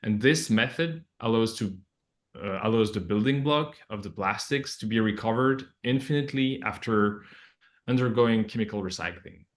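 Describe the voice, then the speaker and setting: Low-voiced man. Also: moderate pace. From Belgium. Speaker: project scientist, interview guest. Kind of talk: explanatory interview answers.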